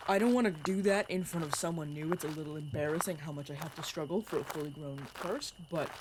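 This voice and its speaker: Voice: medium pitch, fast talker, noisy background, close to an everyday voice. A performer playing an awkward, friendly young vampire guide in an audio roleplay, rambling and self-deprecating. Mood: sheepish rambling. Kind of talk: narrative audio roleplay acting.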